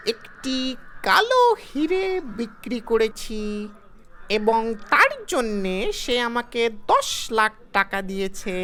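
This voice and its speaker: A man voicing a sly, brazen village swindler in a cartoon story, with a drawn-out, mock-innocent delivery. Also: extremely high pitch, pushed and bright, full character voice. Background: background music.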